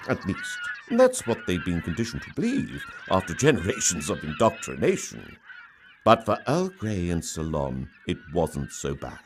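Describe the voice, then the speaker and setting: Male story reader, low register, very animated swings, theatrical and mock-solemn. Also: a little hiss or hum. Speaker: storyteller reading own short story. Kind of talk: dramatic scripted story narration.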